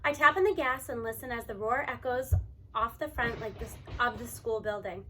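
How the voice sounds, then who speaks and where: A woman reading a children's novel aloud in an expressive, animated storytelling manner. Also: on the high side, normal speed, a little hiss or hum. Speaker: audiobook reader.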